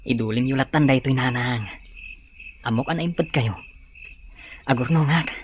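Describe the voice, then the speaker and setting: A man's voice: mid-range voice, normal speed, a little hiss or hum, close to an everyday voice. A man acting an earnest, respectful young son longing for a better life in a radio drama, with an Ilocano Filipino accent. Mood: earnest, pleading.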